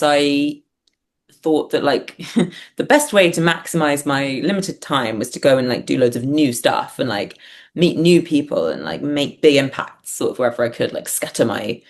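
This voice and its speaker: A woman's voice: sits low, lively intonation, moderate pace. A woman speaking as an interview guest, reflective and hesitant, thinking aloud as she goes. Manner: hesitant, thinking aloud, punctuated by small laughs. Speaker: guest, writer-performer.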